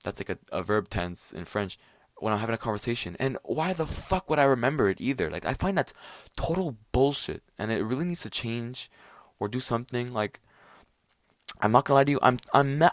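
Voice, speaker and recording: A man's voice: fairly low pitch, no echo, clean studio sound. A young man from Quebec hosting his own podcast, ranting casually with lots of swearing, fillers and restarts. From Quebec, Canada. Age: young adult. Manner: profane, rambling, filler-heavy. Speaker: podcast host. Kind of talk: casual solo rant podcast.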